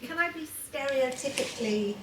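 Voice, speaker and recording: A woman's voice: high-pitched, lively intonation, moderate pace, far from the mic, a little hiss or hum. A woman in the audience asking a question at a conference, friendly and eager. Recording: echoey hall, voice from off-mic.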